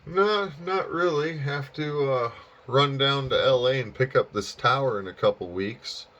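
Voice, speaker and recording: An American man chatting casually and easygoingly over ham radio, rambling along with drawn-out pauses. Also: mid-range voice, noisy background. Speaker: amateur radio operator and channel host. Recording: dull, lo-fi sound.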